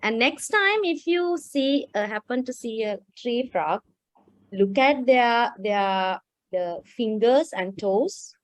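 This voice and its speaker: A woman on a video call casually explaining animals to children, a little halting and checking in as she goes. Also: on the high side, lively intonation, no room sound. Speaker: guest explainer on wetland animals. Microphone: video call microphone.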